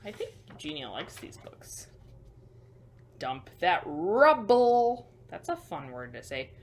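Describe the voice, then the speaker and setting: A woman hosting a children's storytime, reading picture books aloud with emphatic delivery and hushed asides. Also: fairly low pitch, sing-song, slow pace, a little hiss or hum. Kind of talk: picture book read-aloud for young children.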